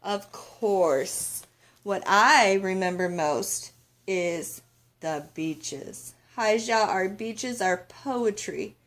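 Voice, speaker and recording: A woman's voice: medium pitch, extremely slow, a bit bright. Storybook grandmother voiced by a woman reader: elderly, loving, dreamy, reminiscing in poetic phrases. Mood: dreamy, nostalgic.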